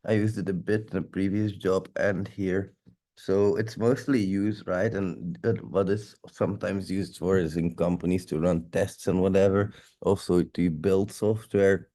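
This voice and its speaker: Deep-voiced guy, stuffed-up nose, rambly casual teaching on a stream, swears a bit. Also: fairly even intonation, measured pace, dark tone. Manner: loose, rambling, occasional swearing. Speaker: security instructor. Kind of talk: casual live tech walkthrough.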